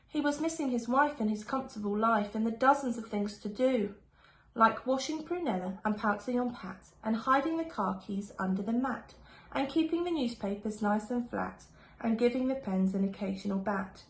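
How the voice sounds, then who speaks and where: A woman reading a rhyming children's picture book aloud in an expressive, sing-song storytelling manner, like a primary school teacher. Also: fairly high pitch, moderate pace. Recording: echoey room with faint background noise.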